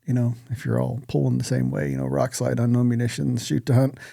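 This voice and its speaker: Gravelly guy co-hosting a podcast, loose joking talk, cusses some, dry room. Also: medium pitch, level tone, brisk, warm tone, no room sound. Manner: informal, joking, profane. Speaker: podcast co-host. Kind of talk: casual chat, banter.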